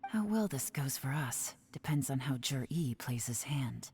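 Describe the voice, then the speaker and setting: An actress playing a calculating, quietly amused investigator in a video game, composed and sly, hushed at times. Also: female voice, a bass voice, expressive, unhurried, faint music behind, heavily acted. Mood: cool, calculating.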